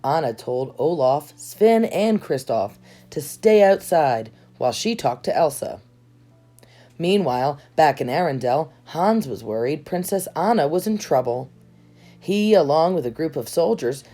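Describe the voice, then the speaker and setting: A woman reading a children's storybook aloud in an expressive storytelling style, with measured, dramatic delivery. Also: low-pitched. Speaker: storybook reader. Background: background music.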